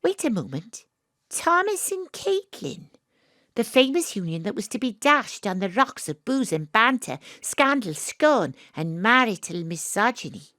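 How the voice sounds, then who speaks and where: A woman's voice: a little high, big pitch swings, measured pace, pushed and bright, dry recording, clean studio sound, heavily acted. An actress playing a young woman investigator, wry and weary, narrating her story lyrically in an audio drama. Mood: wry, reflective.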